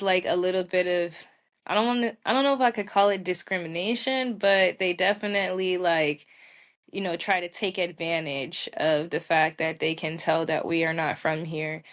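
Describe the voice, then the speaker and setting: An American woman with a moderately low voice speaks as a podcast guest. Her delivery is candid and conversational. She phrases things informally and colloquially, as in relaxed everyday talk. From United States. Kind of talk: casual conversational interview answers.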